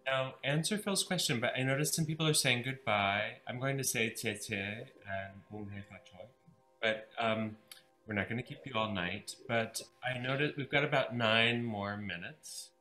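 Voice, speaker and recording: A man hosting an online event, chatty and effusive, rambling warmly to guests and viewers over a video call. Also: medium pitch, moderate pace, faint music behind. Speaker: event host. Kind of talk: enthusiastic live event hosting.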